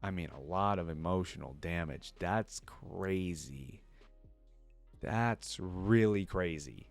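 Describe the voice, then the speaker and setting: A man's voice: deep voice, takes it slowly, creaky, faint music behind. A man hosting a reaction, switching between reading a story aloud and informal commentary where he thinks aloud. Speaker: reaction video host.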